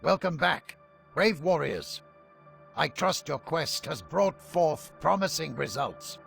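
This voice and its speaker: Man acting a very gravelly, dignified king. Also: on the high side, animated, full character voice. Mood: regal, grave. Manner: formal, measured. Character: dignified king addressing his warriors. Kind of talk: game cutscene voice acting. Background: loud music.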